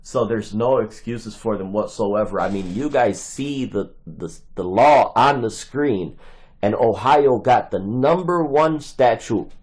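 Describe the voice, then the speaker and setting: Male caller, very low voice, insistent and wordy. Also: darkish tone, slightly rough. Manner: insistent, long-winded, formal-sounding. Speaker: caller making records requests. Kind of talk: recorded phone calls and commentary.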